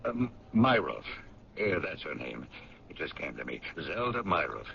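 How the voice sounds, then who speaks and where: Rambly factory boss, super low rough voice, old radio play. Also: male voice, big pitch swings, moderate pace, heavily acted. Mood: musing. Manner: rambling, chatty. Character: gruff middle-aged male factory owner, rambling. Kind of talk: 1970s radio drama acting. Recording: dull lo-fi 1970s radio recording.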